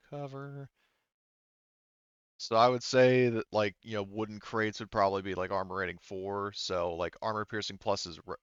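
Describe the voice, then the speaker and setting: A man working as game master, narrating a tabletop roleplaying game casually, thinking aloud haltingly and swearing freely. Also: on the low side, a bit bright, clear, resonant tone, no room sound, lo-fi sound.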